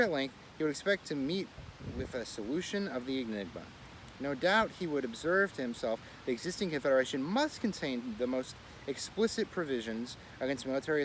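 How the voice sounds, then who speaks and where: A man reading an old political essay aloud in a measured, oratorical way, now and then stumbling and correcting himself. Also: mid-range voice, fairly bright tone, poor audio. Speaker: reader of a daily series. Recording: clear street and vehicle noise.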